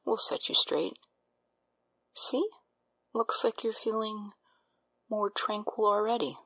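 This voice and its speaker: A woman playing a doctor in an audio drama, breathy, soothing and clinical, with a patronizing, unsettling edge. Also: medium pitch, takes it slowly, bright, forceful tone, full character voice. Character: calm, smoothly reassuring doctor with an unsettling edge.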